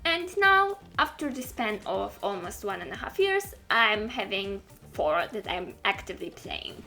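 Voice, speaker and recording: Woman, fairly high voice, very lively intonation, emphatic, direct explainer narration, music softly behind. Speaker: video creator giving roleplaying advice.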